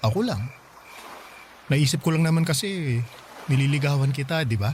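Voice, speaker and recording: Animated, eager Filipino teenage boy acted by a man. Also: on the high side, moderate pace, background noise, close to an everyday voice. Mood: pleading. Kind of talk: Filipino radio drama acting.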